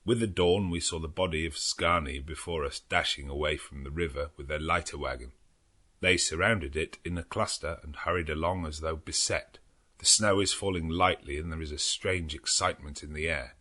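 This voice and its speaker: Man playing low, sombre English doctor. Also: pushed and bright, full character voice. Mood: grim foreboding. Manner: low, measured, grim. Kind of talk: audiobook character narration.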